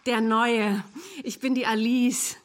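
A woman's voice: a little high, level tone, takes it slowly. Brash, chatty young woman. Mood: brash, excited. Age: young adult. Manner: blunt, chatty. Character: brash, nosy, excitable young female flatmate. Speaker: supporting character, flatmate. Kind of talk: naturalistic radio drama dialogue.

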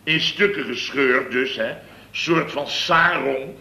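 An actor playing a blustering middle-aged contractor boss who rambles through an exasperated tale, in 1960s radio comedy acting. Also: male voice, medium pitch, slow, sharp tone, reverberant, a little hiss or hum, exaggerated voice. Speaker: construction firm boss.

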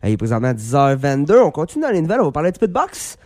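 A man's voice: fairly high pitch, big pitch swings, very fast, darkish tone. A Québécois man hosting a radio sports show, talking boxing in a rambling, casual way with many fillers and restarts.

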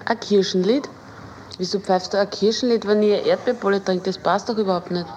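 A woman's voice: mid-range voice, steady tone, brisk, a little mellow, lo-fi sound, slight background noise, natural voice. An actress playing a teasing, incredulous woman in naturalistic film dialogue, insistent and colloquial. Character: teasing, incredulous woman needling her companion.

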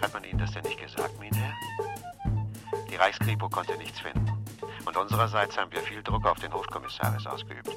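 A fawning police colleague, swooping wildly in pitch from a low base. The voice sits back on a phone call, with loud music along with it. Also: male voice, huge pitch swings, brisk, exaggerated voice. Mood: ingratiating. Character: fawning police colleague phoning congratulations, chuckling nervously. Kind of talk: 1980s German radio play acting.

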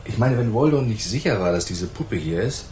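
An actor playing a self-important, hard-nosed police inspector in a radio play, brusque and commanding, with a gravelly voice. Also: male voice, lowish voice, expressive, a little fast, darkish tone, a little hiss or hum, natural voice. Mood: brusque, suspicious.

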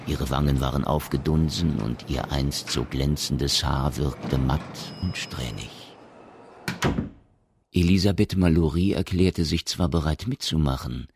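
An actor narrating a German radio drama in a measured, detached, scripted manner. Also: male voice, very deep voice. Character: sober, detached male storyteller of a science fiction tale.

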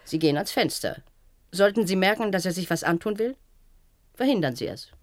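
An actress playing a strict, cold matron in a German radio play, giving orders in a brisk, condescending, authoritative manner. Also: female voice, lowish voice, animated, close to an everyday voice. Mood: brisk, instructing. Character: strict, cold institutional matron with a callous streak. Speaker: head matron instructing a new attendant.

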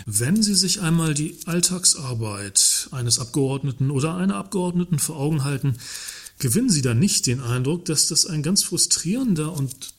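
Man acting husky, slyly ironic staff representative. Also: on the high side, expressive, normal speed. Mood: mock-earnest. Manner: wordy, mock-earnest, provocative, hedging politely. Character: wordy, slyly ironic male works council member. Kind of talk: satirical radio play dialogue.

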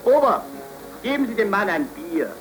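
An actor playing a self-satisfied middle-class tavern regular, drawling and condescending, in a 1930s radio drama. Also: male voice, high voice, big pitch swings, moderate pace, poor audio. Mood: condescending, evasive. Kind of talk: 1930s German radio play acting. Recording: old 1930s radio recording with music. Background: music.

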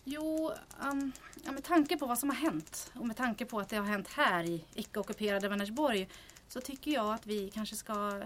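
A woman's voice: fairly high pitch, a little hiss or hum, lightly acted. A woman playing a timid, considerate secretary in an audio drama, hesitant and apologetic in how she speaks.

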